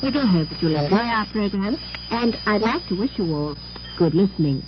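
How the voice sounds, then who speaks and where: A woman's voice: medium pitch, expressive, moderate pace, lo-fi sound, noisy background. A woman reading a scripted program as a 1960s shortwave radio announcer, speaking formally with careful enunciation.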